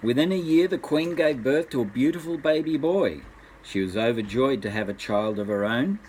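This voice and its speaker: Older grandpa guy reading bedtime story to kids, animated intonation, bit of background noise. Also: medium pitch, normal speed, poor audio. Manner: expressive, addressing small children. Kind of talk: bedtime story read aloud.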